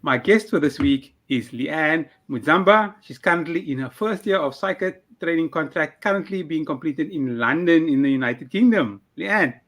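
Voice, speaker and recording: A man's voice: a little high, moderate pace, few pauses. Upbeat young man hosting a podcast. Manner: upbeat, scripted intro then relaxed questioning. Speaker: podcast host.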